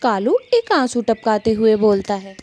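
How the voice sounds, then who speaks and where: A woman's voice: medium pitch, big pitch swings, unhurried, a little mellow, slight background noise. A woman narrator reading a scripted children's story.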